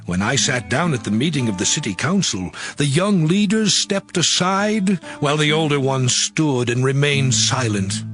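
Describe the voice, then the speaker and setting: An actor playing a righteous, once-proud man in a dramatized scripture reading, solemn and expressive. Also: mid-range voice, moderate pace, hardly any pauses. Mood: wistful. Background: background music.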